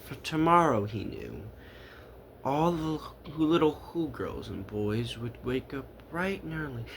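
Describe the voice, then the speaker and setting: A man's voice: medium pitch, lively intonation, a little hiss or hum. A man reading a rhyming children's story aloud theatrically, leaning into words with dramatic emphasis. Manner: dramatic, drawn-out emphasis and repetition.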